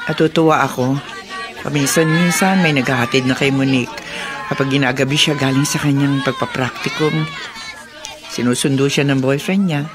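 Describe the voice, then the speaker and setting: A woman acting a caring, knowing middle-aged widowed mother in a Filipino radio drama, gently teasing and motherly. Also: a bass voice, steady tone, moderate pace, crowd noise, noisy background. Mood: warm, pleased.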